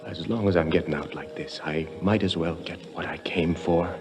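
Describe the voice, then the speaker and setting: A male actor as a hard-bitten American thief in a fifties radio thriller. His voice is very low and gruff, and he speaks in clipped, threatening bursts. Dramatic music plays behind him. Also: moderate pace, natural voice. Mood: menacing. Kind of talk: 1950s radio drama acting.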